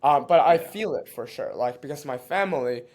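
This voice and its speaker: Man, interview guest, slightly higher voice, halting and thoughtful, restarts often. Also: expressive, darkish tone. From born in China, raised in Canada. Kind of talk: reflective conversational interview.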